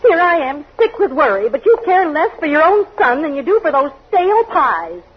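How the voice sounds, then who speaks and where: An anxious mother who chides her family in an old radio comedy. Her pitch swings freely, and the recording is dull with faint hiss. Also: big pitch swings, normal speed. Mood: fretful, scolding. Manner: anxious, chiding. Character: worried, scolding wife and mother. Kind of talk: 1940s radio comedy acting.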